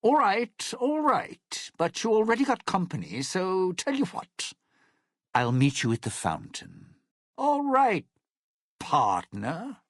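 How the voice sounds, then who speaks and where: An actor playing a cynical, threatening entertainer and sly survivor in a video game, talking with wry, smooth menace. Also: male voice, sits high, huge pitch swings, slow pace, dry recording, clean studio sound, heavily acted. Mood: sly, mocking. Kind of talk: video game character dialogue.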